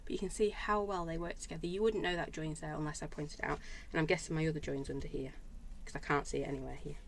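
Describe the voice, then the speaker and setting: A woman with a slightly lower, croaky voice talks chattily through handmade cards on a live stream. She greets viewers by name as she goes. Faint room ambience sits behind her. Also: steady tone, quick pace, a little hiss or hum. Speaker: craft stamping demo host. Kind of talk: live-stream craft show-and-tell.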